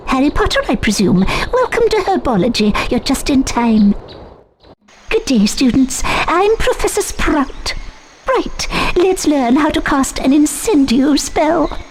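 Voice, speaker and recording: Brisk female magic teacher, high voice, animated, encouraging, video game tutorial, noisy recording.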